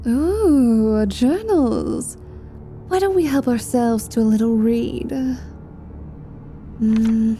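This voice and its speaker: A woman's voice: fairly high pitch, expressive, very slow, pauses often, mellow, slight background noise. A woman playing a wry, foul-mouthed adventurer and reluctant hero in an intimate ASMR fantasy roleplay. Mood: curious.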